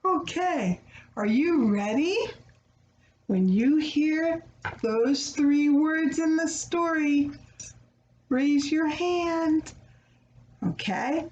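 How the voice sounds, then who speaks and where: A woman reading a children's story aloud in a teacherly way, pausing to check in with her young listeners. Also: sits high, darkish tone, lo-fi sound. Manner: addresses young listeners with questions and pauses. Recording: roomy echo, faint background noise.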